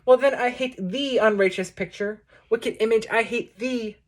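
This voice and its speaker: Woman reciting poetry in measured phrases. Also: a little high. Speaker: poetry reader introducing a book. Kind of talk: recited poetry reading with short spoken introduction.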